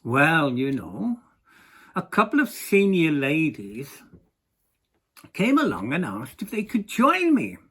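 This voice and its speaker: An older man from England tells a personal anecdote while practising a Welsh accent. His delivery is unhurried and deliberate, with very wide swings of pitch in a singsong lilt. The voice has a husky, slightly rough edge. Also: huge pitch swings, a bit bright. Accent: English speaker putting on a Welsh accent. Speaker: amateur accent learner telling an anecdote. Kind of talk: rehearsed spoken anecdote.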